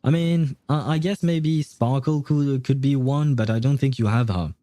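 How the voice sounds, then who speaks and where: A man's voice: mid-range voice, steady tone, moderate pace, mellow, no room sound. A man hosting a livestream, chatting casually in a rambling way and often losing his train of thought. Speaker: livestream host. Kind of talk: casual game stream chat.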